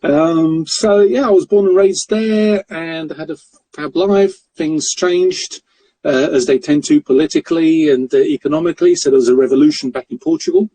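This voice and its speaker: A middle-aged man from Mozambique, a podcast guest telling stories in a relaxed, self-deprecating way with frequent chuckles. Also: fairly high pitch, moderate pace, breathy edge, no room sound, low-quality recording. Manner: jokey, self-deprecating storyteller who laughs often. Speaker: guest, currency broker. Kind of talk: casual interview chat.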